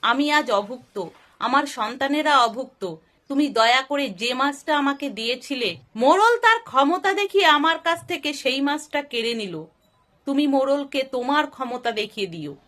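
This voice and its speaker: Poor fisherman, voiced by a female narrator: pious, humble, pleading. High and bright, moral-tale delivery. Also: lively intonation, moderate pace. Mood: plaintive prayer. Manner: pleading, plaintive.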